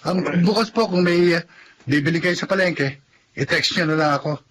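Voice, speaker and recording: An actor playing a polite, helpful young male neighbour in a Filipino radio drama, with an eager, hurried delivery. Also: on the high side, fairly bright tone, slight background noise, lightly acted, rough recording.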